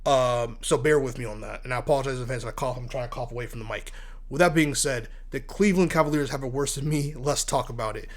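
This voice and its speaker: A man hosting casual solo sports talk, congested from asthma and allergies, blunt and animated, stumbling and repeating words as he gets worked up. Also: mid-range voice, fast, a crowd in the background. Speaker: sports commentary host.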